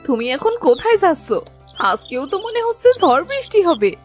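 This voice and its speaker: A woman's voice: very high-pitched, very animated, normal speed, faint music behind. A woman voicing an anxious village wife and mother in a cartoon fairy tale, plaintive, scolding and urging. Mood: fearful, pleading.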